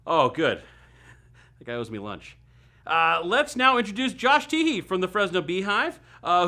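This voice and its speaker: Lively, bright-voiced American man hosting comedy. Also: fairly high pitch. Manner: wry, sarcastic, emphatic delivery. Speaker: host of a comedy web series. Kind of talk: scripted comedic monologue to viewers.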